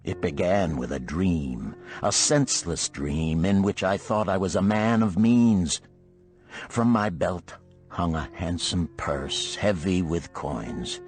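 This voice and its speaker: A poor, troubled chariot builder, played by a male narrator, talks in archaic storybook phrases. He sounds heavy-hearted and gloomy, with soft music underneath. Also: low voice, a little slow. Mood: wistful. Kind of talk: audiobook character voice.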